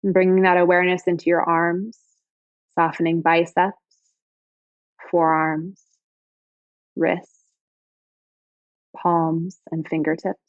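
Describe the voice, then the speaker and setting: Female meditation guide, lower register, soothing and unhurried, very dry and somewhat muffled lo-fi sound. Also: flat delivery, no room sound. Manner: soothing, gentle, unhurried phrasing with pauses. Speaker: yoga teacher guiding meditation. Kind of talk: guided body scan meditation.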